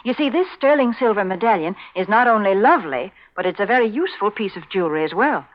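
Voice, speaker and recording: A woman co-hosting 1940s radio as announcer, giving a prim, polished sponsor pitch. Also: expressive, fast talker. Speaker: commercial announcer and co-host. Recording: dull vintage 1940s radio recording.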